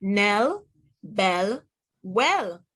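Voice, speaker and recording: A woman reading aloud to young children as a teacher, pronouncing words deliberately one by one and putting questions to the listener. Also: mid-range voice, very animated, fairly bright tone, husky. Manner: deliberate word-by-word enunciation, asks the listener questions. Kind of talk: read-aloud phonics lesson for children.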